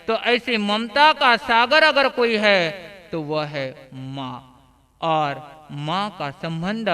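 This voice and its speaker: Male preacher, devotional sermon, slow deliberate pace, sharp bright tone, emphatic rise and fall. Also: high voice. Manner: emphatic, oratorical, phrases swelling and falling away. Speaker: religious preacher. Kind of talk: devotional sermon with illustrative stories.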